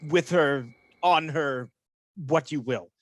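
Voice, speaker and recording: A man's voice: fairly high pitch, slight background noise. A man acting a manipulative villain in a Shakespearean radio play, insinuating and theatrical, posing as a loyal officer. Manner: insinuating, measured, theatrical verse delivery.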